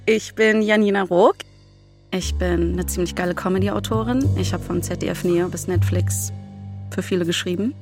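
A woman's voice: fairly low pitch, steady tone, moderate pace, music in the background. A woman chatting informally and playfully as a podcast co-host. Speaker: comedy writer, podcast co-host. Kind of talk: casual chat.

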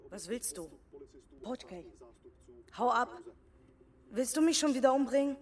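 A woman's voice: on the high side, animated, unhurried, a little mellow, far from the mic. A woman playing a cold, wary young half-sister in a radio drama, curt and rejecting. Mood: hostile.

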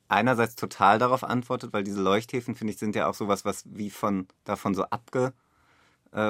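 A man talks as a director in a reflective interview. His voice sits fairly low, and the recording is dry, with hardly any room sound. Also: a bit bright, no echo. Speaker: radio play director, interviewee.

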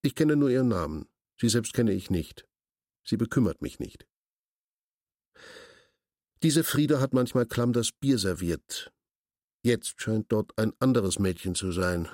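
An actor playing a curt, imperious senior official in a radio drama, speaking in a formal, pedantic, bureaucratic manner. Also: male voice, fairly low pitch, animated, measured pace, long pauses, darkish tone, dry recording, studio quality. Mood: officious, detached. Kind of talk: German radio drama acting.

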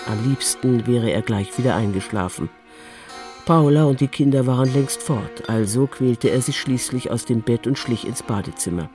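A man's voice: medium pitch, normal speed, a little mellow, soft background music. A man narrating a literary radio drama from a script.

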